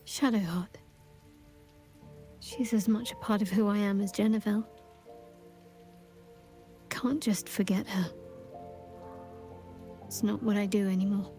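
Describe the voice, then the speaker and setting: Woman acting a slow, hushed, grieving young heroine. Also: mid-range voice, steady tone, soft background music, close to an everyday voice. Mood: wistful, accepting. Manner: measured, hushed, halting, reflective delivery. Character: grieving young woman, willful, wistful, tenderly resolute. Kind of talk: cinematic video game voice acting.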